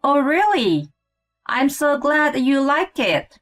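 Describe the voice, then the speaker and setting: A woman's voice: sits high, sing-song, slow pace, fairly bright tone, faint music behind, pitched above the performer's own voice, full character voice. A woman voicing a cheerful, eager mother in a story, with a sweet, insistent manner. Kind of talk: acted story dialogue.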